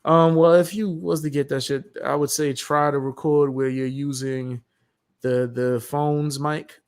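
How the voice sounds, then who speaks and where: A man's voice: a little high, little pitch movement, measured pace, a little mellow, clean tone. A man in casual chat about home recording, laid-back and slangy, swearing freely. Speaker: streamer.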